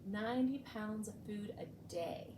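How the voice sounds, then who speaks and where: A woman reading a picture book aloud for children as a storytime host, expressively and with deliberate emphasis, adding short explanatory asides. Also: mid-range voice, dark tone. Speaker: librarian hosting a bedtime storytime. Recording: echoey room with clear background noise.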